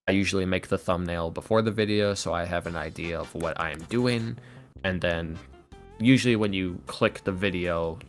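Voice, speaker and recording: Deep voiced guy w lots of fry, casual interview chat, faint guitar music in back. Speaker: podcast guest, ASMR roleplay creator.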